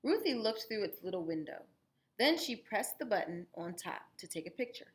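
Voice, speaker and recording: A woman reading a children's story aloud as a teacher, expressively, pausing to explain it to young listeners. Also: medium pitch, slight background noise. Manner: animated, explanatory, guiding listeners through the story.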